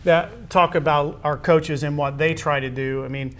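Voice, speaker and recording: Higher-pitched American male host, halting delivery. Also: fairly even intonation, a bit bright. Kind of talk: conversational sports interview hosting.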